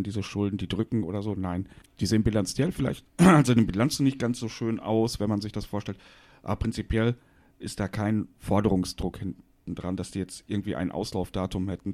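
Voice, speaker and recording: Male co-host from Westphalia, lowish and mellow, matter-of-fact explainer. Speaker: podcast co-host. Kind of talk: casual chat.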